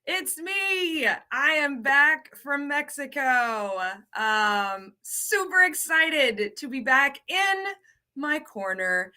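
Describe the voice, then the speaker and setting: Bubbly bright-voiced American woman host. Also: sits high, lively intonation, drawn-out words. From United States. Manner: bubbly, repeats words for emphasis, greets viewers. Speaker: ESL program coordinator hosting a livestream. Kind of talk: casual livestream lesson.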